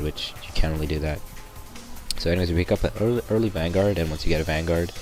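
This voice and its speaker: A young man with a raspy voice, sick, gives a rambling gameplay walkthrough in a very low register. Loud music plays behind his voice-over. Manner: rambling, many ums and restarts. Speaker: gameplay commentator. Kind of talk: casual gameplay tutorial voice-over.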